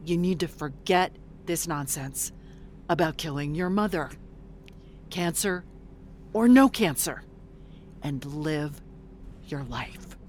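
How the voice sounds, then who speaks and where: A woman's voice: low voice, lively intonation, slow pace, pauses often, darkish tone, slight background noise. An actress playing a blunt, weary middle-aged woman fresh out of prison in an audio drama, swearing in exasperation. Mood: fierce, weary.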